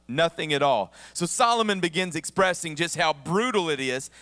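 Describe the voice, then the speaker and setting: A man's voice: fairly high pitch, expressive, moderate pace, bright, forceful tone, no room sound, long reverb tail. A male pastor with a Southern American accent preaching a conversational sermon with humorous asides, his voice a bit worn. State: voice somewhat worn, losing his voice. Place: church sanctuary.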